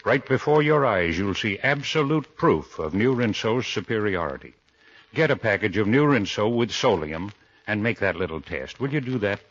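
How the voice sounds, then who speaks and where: Male radio announcer, lowish voice, polished earnest sales delivery, 1940s commercial, dull recording. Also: animated, normal speed. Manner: polished, formal, earnest pitchman.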